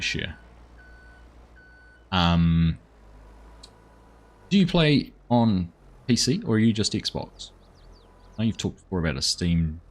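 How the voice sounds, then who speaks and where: Aussie guy live streaming a farm game, deep husky voice, chatty with chat. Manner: chatty, reads out and thanks viewers. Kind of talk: casual live-stream commentary.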